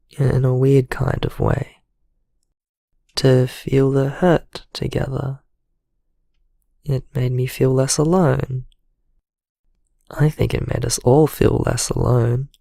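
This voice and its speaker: An actor playing a traumatised, gentle man leaving a halting, vulnerable voicemail in an intimate audio drama. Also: medium pitch, creaky, dry recording, clean studio sound. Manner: halting, hesitant, emotionally vulnerable.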